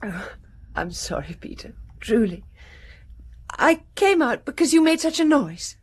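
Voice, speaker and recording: An actress playing a fragile, distraught young aristocratic lady in a radio drama, halting and pleading in an upper-class English accent. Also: sits high, very animated, breathy edge, exaggerated voice. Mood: weary, anxious. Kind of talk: British radio drama acting.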